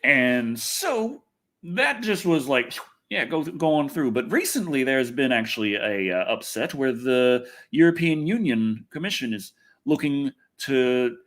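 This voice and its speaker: A man's voice: mid-range voice, lively intonation, measured pace, a bit bright. A man hosting a casual livestream, chatting to viewers in a loose, rambling way with some swearing. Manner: rambling, loose, expletive-laden. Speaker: livestream host.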